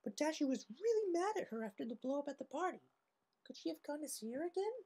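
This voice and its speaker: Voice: high voice, sing-song, fast, dark tone, voice pushed up. A performer voicing a hyper, cheerful young female cartoon pony with a bouncy manner. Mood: curious. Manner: exclaiming, bouncy.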